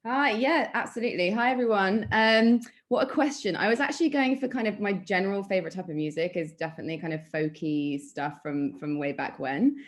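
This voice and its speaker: A woman's voice: medium pitch, fairly quick, few pauses. A woman introducing herself on a panel over a video call, chatty and enthusiastic.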